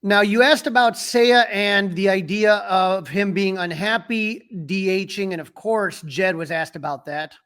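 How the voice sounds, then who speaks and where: A man with a high voice talks sports as a podcast co-host. He is opinionated and emphatic in a casual fan's way, and the sound is close and dry. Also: measured pace, hardly any pauses, a bit bright, smooth, dry recording. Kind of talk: casual sports talk and commentary.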